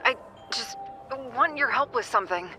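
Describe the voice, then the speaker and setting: A woman's voice: on the high side, lively intonation, normal speed, lightly acted. A woman playing a lonely doctor in an audio drama, speaking hesitantly and pleadingly. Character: lonely, pleading woman doctor asking old friend for help.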